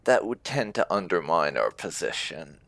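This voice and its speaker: Guy doing chill game playthrough commentary, low gravelly voice, thinks out loud. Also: big pitch swings, normal speed, no echo. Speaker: gaming host, player. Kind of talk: casual roleplay playthrough commentary.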